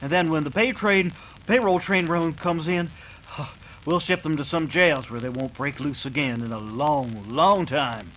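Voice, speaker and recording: An actor playing an earnest, bashful young American cowboy hero in an old-time radio western. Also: male voice, a little high, a little slow, fairly bright tone, a little hiss or hum, low-quality recording. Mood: confident. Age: young adult.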